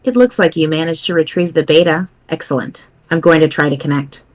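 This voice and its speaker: A woman reading the part of a wry, formal, wordy teenage friend in an amateur webcomic dramatic reading, in a deadpan manner. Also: low voice, a little fast, lightly acted. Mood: dry, composed.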